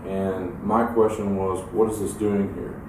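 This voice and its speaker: Deep-voiced man in a very echoey room. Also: steady tone. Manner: halting, measured, technical. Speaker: academic expert giving an opinion. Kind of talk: unscripted expert explanation. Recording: echoey room, distant mic, clear noise.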